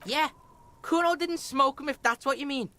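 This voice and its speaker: A defiant young street punk from a video game. The voice is high and piercingly bright, with a swaggering sneer and a hostile, cocky edge. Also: female voice, normal speed. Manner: swaggering, sneering, speaks of self in third person. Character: cocky foul-mouthed delinquent street boy, defiant, hostile. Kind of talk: video game character acting.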